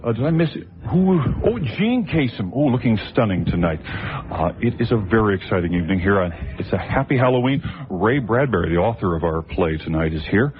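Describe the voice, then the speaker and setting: A man's voice: fairly low pitch, very animated, a little fast. Male live radio host, slightly dull sound. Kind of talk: live event commentary, ad-libbed. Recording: slightly muffled radio broadcast.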